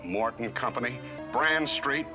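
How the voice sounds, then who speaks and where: A man's voice: medium pitch, measured pace, muffled recording, over music, close to an everyday voice. An actor playing a bewildered, desperate businessman in his thirties in a 1960 TV drama, intense and pleading. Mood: frantic, insistent. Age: 30s. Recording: old TV soundtrack with score.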